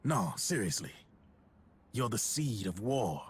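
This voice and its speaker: An actor playing a sly middle-aged arms dealer, talking in a wry, husky storytelling voice. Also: male voice, on the low side, sing-song, slow pace, slight background noise.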